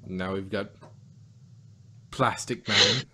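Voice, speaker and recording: A man's voice: fairly low pitch, steady tone, slow pace, sharp tone. Man joking through a casual review chat. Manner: joking, laughs often, rambling with restarts. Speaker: podcast co-host reviewing an audio drama.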